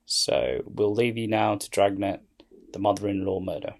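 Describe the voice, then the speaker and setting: A man hosting a show with a rambling, loosely improvised introduction, halting and often correcting himself. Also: fairly low pitch, expressive, vocal fry. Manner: hesitant, halting, self-correcting. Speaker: channel host.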